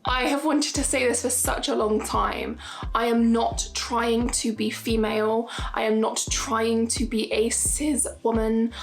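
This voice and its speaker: High-voiced young woman vlogging earnestly. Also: flat delivery, measured pace, creaky. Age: 20s. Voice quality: high-pitched voice. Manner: earnest, emphatic, repeats points for stress. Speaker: YouTuber. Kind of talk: casual opinion vlog to camera.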